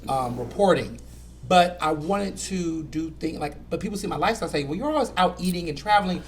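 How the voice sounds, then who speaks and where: A man's voice: a little high, brisk, a little hiss or hum. Chatty man with a light Southern accent. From Houston, Texas. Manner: animated, chatty, many you-knows. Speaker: guest, food editor and writer. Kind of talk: casual conversation.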